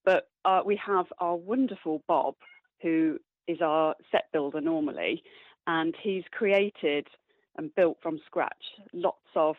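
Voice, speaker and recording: A woman's voice: low voice, slow, no echo. A woman giving cheerful, thoughtful answers as an interview guest, heard over a phone-like line. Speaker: interview guest, stage actor. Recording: narrow, phone-like band.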